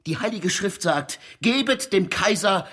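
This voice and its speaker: An actor playing an impassioned orator who damns rulers like a preacher, emphatic and theatrical in a musical audio drama. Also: male voice, high-pitched, lively intonation, a little slow, fairly bright tone, breathy edge, exaggerated voice. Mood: scornful. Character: impassioned male orator damning princes like a preacher.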